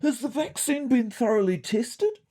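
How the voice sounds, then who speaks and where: A woman plays a nervous male cat from a comic audio story, pitched high, with a New Zealand accent. He is halting and pleading, and his mellow voice swings up and down. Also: big pitch swings. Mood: questioning. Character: anxious, hesitant male cat full of questions.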